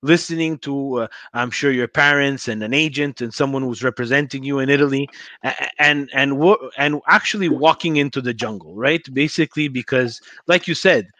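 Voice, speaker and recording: A man's voice: mid-range voice, moderate pace, pushed and bright, no echo. A man in his forties hosting a podcast on a headset, talking in long rambling runs with frequent stutters. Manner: rambling, emphatic, many stutters. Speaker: podcast host. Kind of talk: casual video-call interview. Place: home room indoors.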